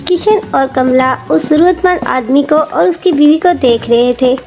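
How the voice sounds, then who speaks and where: High-pitched woman narrating a story. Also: a little slow. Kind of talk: scripted moral story narration. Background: faint music.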